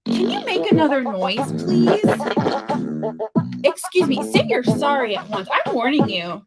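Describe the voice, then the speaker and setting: A high-voiced woman performs an interactive read-aloud for young children, taking her time with each phrase. Her delivery is theatrical and playful, swinging widely in pitch, with prominent music behind her. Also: wildly swooping pitch, unhurried. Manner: theatrical, playful, with dramatic gasps and exclamations. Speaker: librarian reading a picture book aloud to children.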